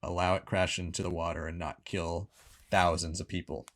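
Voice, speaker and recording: A man's voice: deep voice, little pitch movement, moderate pace, a bit bright. A man hosting a review show, giving his opinions in casual conversation. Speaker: book review show host.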